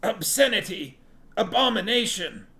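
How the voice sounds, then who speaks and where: A man's voice: sits high, big pitch swings, measured pace, gravelly, bright, forceful tone, voice pushed up, exaggerated voice. A man voicing an outraged religious zealot who speaks in a strangled, fervent mutter, in a fantasy storybook reading. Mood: outraged, disgusted.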